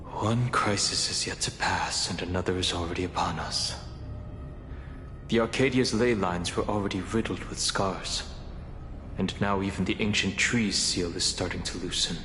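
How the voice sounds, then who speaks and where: Game role: solemn male counselor, formal, lower register, reverberant space, faint music. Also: normal speed, a bit bright. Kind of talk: video game voice acting.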